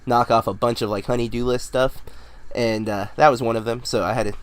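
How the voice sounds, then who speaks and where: A man's voice: mid-range voice, fairly quick, clean tone. An American man, around his thirties, co-hosting a casual movie podcast, slightly nasal from a head cold and talking in a loose, rambling way. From United States. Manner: relaxed, rambling with fillers and restarts. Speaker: co-host.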